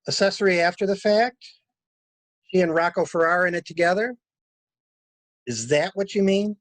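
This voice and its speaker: A man's voice: sits high, moderate pace, fairly bright tone, dry recording. A man acting a shrewd, blunt male traveler in a mystery drama reading, with clipped, wry, probing delivery. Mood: skeptical, curious. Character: male stranded traveler, shrewd, blunt, coolly inquisitive stranger.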